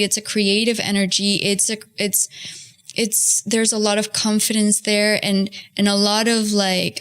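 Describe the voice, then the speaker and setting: Young woman, reflective and emphatic. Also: mid-range voice.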